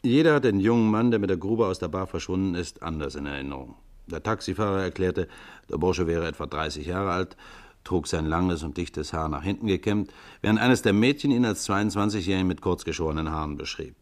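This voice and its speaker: A very deep-voiced police inspector played by a male actor from a 1950s German radio krimi. He is brisk and probing, putting clipped questions with dry authority. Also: close to an everyday voice. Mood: dry, explaining. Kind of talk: 1950s German radio crime drama acting.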